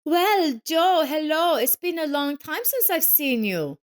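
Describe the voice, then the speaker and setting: A woman's voice: sits high, sing-song, unhurried, pitched above the performer's own voice. A woman voicing a sneering, condescending middle-aged ex-wife with a mocking, haughty manner in an audio story.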